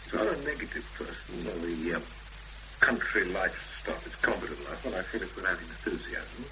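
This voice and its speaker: A very deep-voiced, donnish man in a seventies radio play. He is analytical and sure of himself, thinking aloud with hesitations and wide swings of pitch. There is clear hum and noise on the old broadcast recording. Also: lively intonation, a little fast. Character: Edgar, analytical, self-assured male literary profiler. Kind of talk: naturalistic 1970s radio drama acting. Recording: noisy hummy 1970s radio broadcast recording.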